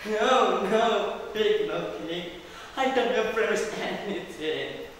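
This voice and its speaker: A dramatic young storybook princess, played by a man, calls out in a high, theatrical voice. It is exclamatory and carries through a reverberant hall, some way from the mic. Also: expressive, a little slow, far from the mic, slight background noise. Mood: defiant.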